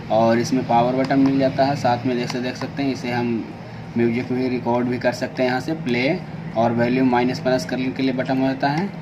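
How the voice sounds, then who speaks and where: An Indian man hosting an informal product unboxing, explaining things step by step in a repetitive way. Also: medium pitch. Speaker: product reviewer and video host. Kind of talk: unboxing demonstration narration. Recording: clearly noisy amateur recording.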